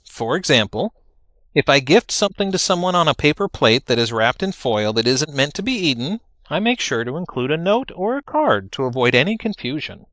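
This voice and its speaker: A man reading a scripted, humorous first-person story in a dryly formal, measured way. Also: medium pitch, a bit bright, no room sound. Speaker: storyteller, narrator.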